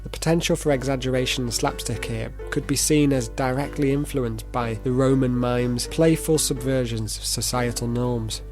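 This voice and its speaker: A man's voice: mid-range voice, fairly even intonation, moderate pace, few pauses, a little mellow, faint music behind. A man narrating a video essay in a playful, theatrical manner, with informal asides. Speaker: presenter.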